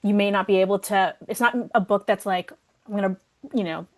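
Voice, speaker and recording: Woman hosting an online-style q and a, casual, mid pitch, kinda halting, thinks out loud. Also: fairly even intonation. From Somali community. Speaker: workshop moderator. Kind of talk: casual discussion, relaying audience questions.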